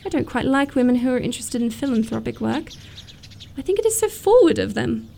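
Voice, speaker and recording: A woman's voice: fairly high pitch, very animated, fairly quick, gravelly, warm tone. A woman playing a romantic, self-assured young upper-class lady in a period comedy radio play, precise and sweetly matter-of-fact. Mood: polite, prim.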